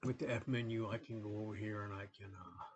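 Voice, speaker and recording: Low, flat-voiced man, sniffly. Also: fast talker, muffled recording, a little hiss or hum. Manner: rambling, relaxed, many fillers and restarts. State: sniffly, cold coming on. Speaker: gaming channel host. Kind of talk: casual gameplay commentary.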